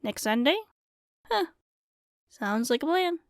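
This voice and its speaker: A woman's voice: high voice, animated, slow, no room sound, clean studio sound, exaggerated voice. A woman playing an easygoing, wry former singer turned small-town bartender, chatting casually in an intimate ASMR roleplay. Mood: playful. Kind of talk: ASMR roleplay acting, intimate one-sided conversation.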